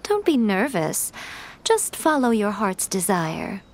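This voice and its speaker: An actress playing a sly, teasing, elegant fox shrine maiden in a video game, coy and poised. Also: female voice, medium pitch, huge pitch swings, takes it slowly, heavily acted. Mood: coy, playful. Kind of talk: acted video game dialogue. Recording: music behind the voice.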